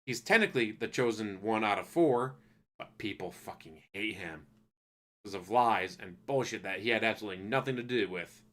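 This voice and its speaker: A man giving a loose, profane spoken review of an anime, ranting casually and acting out characters in quick asides. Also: fairly low pitch, animated, fairly bright tone.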